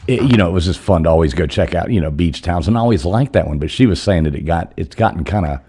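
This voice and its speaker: A man chatting casually on a stream, joking and rambling with many halting restarts. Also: sits low, animated, dark tone, slightly rough. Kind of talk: casual chat.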